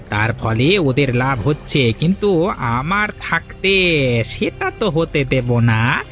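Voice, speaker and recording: A man's voice: on the high side, a bit bright. An actor playing a scheming middle-aged village man who plots aloud to himself in a cartoon. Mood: sly. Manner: plotting aloud to himself, sly. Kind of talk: cartoon voice acting.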